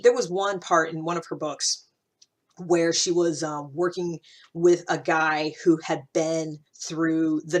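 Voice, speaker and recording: A woman with a slightly low voice hosts a personal-growth vlog. She talks informally and reflectively, searching for words as she thinks aloud and leaning on key points for emphasis. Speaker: host of a personal-growth series. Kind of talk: casual, reflective spoken vlog to listeners.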